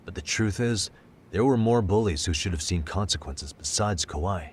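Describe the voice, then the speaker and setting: An actor playing a calm, sharp detective in an English game dub, measured and thoughtful as he reasons through a case. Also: low voice, slight background noise. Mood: focused. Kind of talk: English video game dub cutscene acting.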